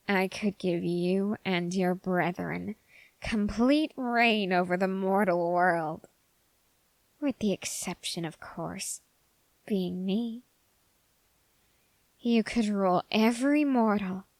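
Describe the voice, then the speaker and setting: A woman voicing a dark, obsessive young man in an ASMR roleplay, speaking formally in deliberate, halting phrases that can slip toward a whisper. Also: medium pitch, no room sound, low-quality recording. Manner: silky, formal menace, slipping into whispers.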